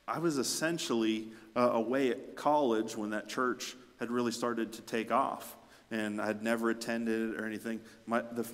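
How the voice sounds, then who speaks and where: A man delivers a sermon in a medium-pitched voice. He leans on repeated phrases, moving between quieter explanation and forceful emphasis. His words ring with the long reverb of a large hall. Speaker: pastor preaching.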